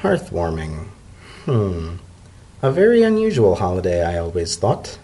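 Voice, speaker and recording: A shrewd lady ambassador played by a man questions with dry formality. The voice is husky and swings widely in pitch, aloof yet curious, as in a dramatic storybook reading. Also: wildly swooping pitch, unhurried, warm tone, exaggerated voice. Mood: musing. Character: mild-toned female ambassador, shrewd, aloof and inquisitive.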